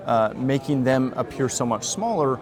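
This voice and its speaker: A man interviewing at a trade show, with an even mid-range voice and keen enthusiasm. The busy hum of a crowd surrounds him on the show floor. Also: medium pitch, moderate pace. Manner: enthusiastic, frequent affirmations.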